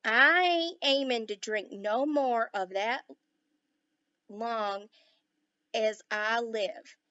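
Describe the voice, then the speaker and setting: Loud, drawling little cowboy with a put-on Texas twang, grumbling and self-pitying, as a teacher reads him out. The drawl is slowed and pushed, the sound dry and a bit dull. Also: female voice, fairly high pitch, clear, resonant tone, no echo, heavily acted. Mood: angry.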